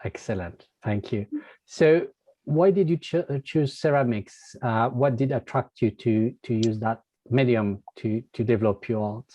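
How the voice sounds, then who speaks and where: A man's voice: medium pitch, measured pace, warm tone, no echo. A man interviewing an artist, asking polite questions with frequent hesitations and fillers. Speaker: interviewer, magazine editor.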